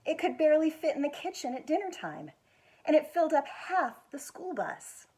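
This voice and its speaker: An American woman with a husky voice reads a children's picture book aloud expressively, stretching words for emphasis. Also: high-pitched. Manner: expressive, with drawn-out emphasis and quiet asides to show pictures. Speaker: executive director of a schools foundation, guest reader.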